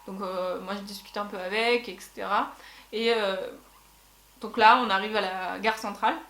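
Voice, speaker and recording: A woman's voice: mid-range voice, fairly even intonation, normal speed, a bit bright. Chatty young woman in an echoey room. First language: French. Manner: chatty, lots of fillers and restarts. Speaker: vlogger. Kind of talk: casual storytime.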